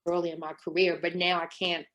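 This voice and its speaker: Reflective woman romance author, low voice. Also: little pitch movement, brisk, a bit bright, highs cut off. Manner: reflective, many ums and sort ofs. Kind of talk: conversational interview answer.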